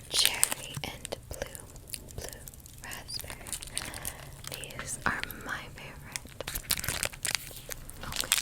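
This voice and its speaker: A woman in a gentle, breathy whisper, unhurriedly playing an attentive candy shop keeper in an ASMR roleplay.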